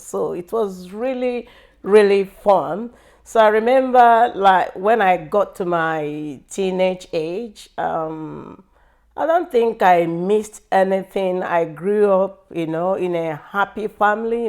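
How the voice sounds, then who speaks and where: A middle-aged woman from Nigeria with a Nigerian accent, speaking slowly and thoughtfully in an interview about her life, with frequent pauses and fillers. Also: fairly low pitch, darkish tone. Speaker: interview guest. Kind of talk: reflective personal interview.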